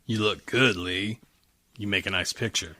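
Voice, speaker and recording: A man acts a cold noir villain with a gun. His low voice has a sharp, tense edge, threatening in old radio-play fashion. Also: steady tone, moderate pace, no room sound. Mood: taunting menace. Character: menacing male gunman, cold noir villain. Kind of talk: 1940s radio drama acting.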